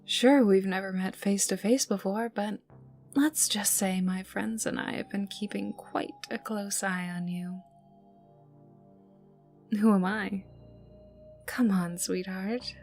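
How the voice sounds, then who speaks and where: A woman playing a menacing, teasing young enforcer for a crime boss in an ASMR roleplay, taunting the listener in a breathy voice that dips toward whispers. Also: mid-range voice, steady tone, takes it slowly, pauses often, a little mellow. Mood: amused, taunting. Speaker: voice actor in audio roleplay. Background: ambient music and mild sound effects.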